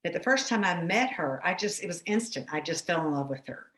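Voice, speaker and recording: A woman gives voice to a panelist's signed answers, speaking at a measured, steady pace. Her voice sits a little low for a woman. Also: poor audio. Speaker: sign language interpreter voicing a panelist.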